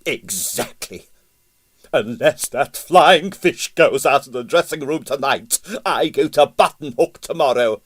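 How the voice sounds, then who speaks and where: A man's voice: mid-range voice, animated, measured pace, voice pushed up. A man voicing a large, formidable ballet mother in a storybook, imperious and indignant, with emphatic delivery. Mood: furious.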